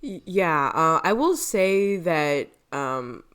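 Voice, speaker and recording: A woman with a slightly low voice talks as a podcast co-host. Her delivery is informal and opinionated, and she qualifies her thoughts as she goes in a loose, chatty style. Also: expressive, takes it slowly, fairly bright tone, clear, resonant tone. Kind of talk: casual opinionated podcast chat.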